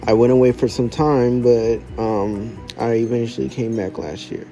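A teenage young man from the United States giving an earnest, deliberate self-introduction as a contestant. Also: mid-range voice, steady tone, warm tone, soft background music. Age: teens.

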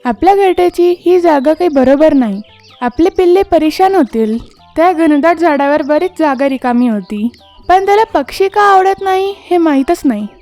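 Woman as a female myna, very high pitch, gentle, pleading, kids' cartoon, light music bed. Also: expressive, normal speed. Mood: worried. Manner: earnest pleading. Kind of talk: children's moral story cartoon voicing.

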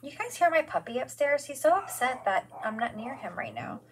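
A woman's voice: sits high, sing-song, a little fast, slight background noise. A woman in her forties hosting a live craft demonstration from her studio, chatty and gushing, greeting viewers by name as she works.

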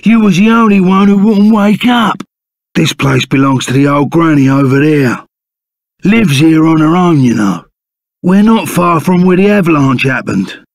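A man's voice: fairly high pitch, animated, unhurried, creaky, no room sound, clean studio sound. A man voicing a burly, blustering but loyal bandit sidekick in a video game, with a Cockney accent and blunt, slangy manner. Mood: concerned. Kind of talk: animated video game character acting.

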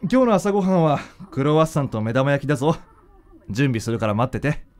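An actor playing a gentle, devoted young man who hides his heartbreak, in a Japanese radio drama. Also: mid-range voice, big pitch swings, fast, natural voice. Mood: kind, casual. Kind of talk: radio drama acting with narration.

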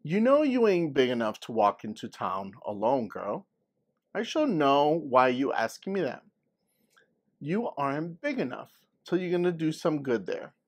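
A man's voice: fairly high pitch, big pitch swings, measured pace. A man reading a storybook as a folksy elderly Southern grandmother telling tales of her childhood. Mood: gently chiding. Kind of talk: storybook read-aloud.

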